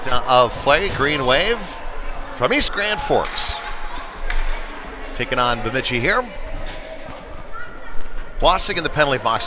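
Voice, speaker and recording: A man with an American accent doing live play-by-play commentary of a youth hockey game in a conversational, folksy way. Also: medium pitch. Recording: loud rink noise behind the voice. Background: noisy indoor ice rink with bangs.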